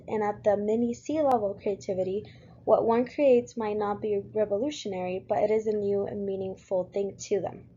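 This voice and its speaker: A young woman from Puerto Rico, a college student, reading a class presentation in a steady, earnest way with a few fillers. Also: mid-range voice, highs cut off, slight background noise. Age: young adult.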